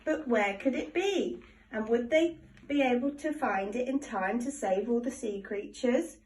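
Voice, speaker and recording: Expressive woman reading a children's story. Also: a little high, unhurried, recorded from a distance, low-quality recording. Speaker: storybook reader. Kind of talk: children's story read-aloud.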